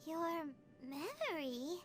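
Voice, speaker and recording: Very high-pitched, drawling fairy companion. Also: female voice, sing-song, slow pace, faint music behind, heavily acted. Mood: curious, uneasy. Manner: wide-eyed, questioning. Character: tiny childlike fairy companion, excitable and inquisitive. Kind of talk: video game voice acting.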